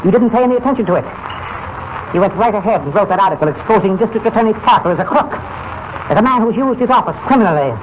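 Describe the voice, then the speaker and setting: Invisible menace, politely threatening, high voice with swooping emphasis; muffled vintage radio serial. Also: male voice, lively intonation, quick pace. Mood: falsely concerned, urging. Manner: insistent, falsely polite warnings. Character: smooth menacing invisible male villain, falsely solicitous. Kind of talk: 1940s radio drama acting. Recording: noisy, muffled old radio broadcast.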